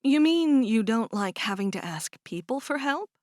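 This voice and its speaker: A woman reading aloud and voicing a kind, calm older sister who speaks gently and reassuringly. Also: fairly high pitch, unhurried, no room sound, studio quality. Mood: soothing.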